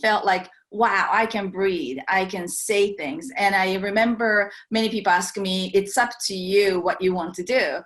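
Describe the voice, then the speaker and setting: A woman from Japan whose first language is Japanese, a startup founder speaking earnestly and haltingly on a remote video call. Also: mid-range voice, a bit bright. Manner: earnest, halting, laughs easily. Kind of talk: virtual keynote conversation.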